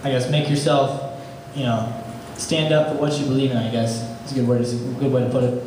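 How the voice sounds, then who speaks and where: A young man answers debate questions in a halting, casual way and leans on many fillers. He sounds far from the mic in a very echoey room with clear background noise. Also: mid-range voice, level tone. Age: young adult. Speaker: student government candidate.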